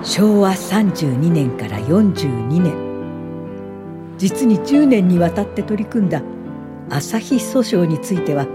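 An actress playing a kindly, dignified elderly woman professor in a radio drama, speaking in a gentle, measured, instructive way. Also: on the low side, big pitch swings, over music. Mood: reflective. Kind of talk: Japanese radio drama acting and narration.

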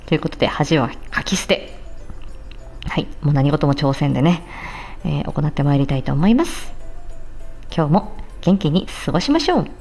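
A woman's voice: deep voice, lively intonation, moderate pace, gravelly, pauses often, darkish tone, faint music behind. A woman streamer chatting casually in a giggly, bashful, hesitant way as she makes announcements. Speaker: streamer and amateur voice-drama performer.

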